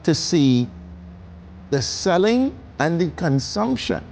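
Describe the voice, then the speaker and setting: A man's voice: a little high, sing-song, takes it slowly, vocal fry, a little mellow, slight background noise. Emphatic man, chef guest. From Bahamas. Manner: emphatic, passionate. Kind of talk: conversational interview answers.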